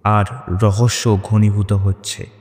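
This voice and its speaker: A man's voice: on the low side, little pitch movement, slow, a little mellow, big-room sound. A man narrating a scripted horror story in a dramatic, suspenseful manner.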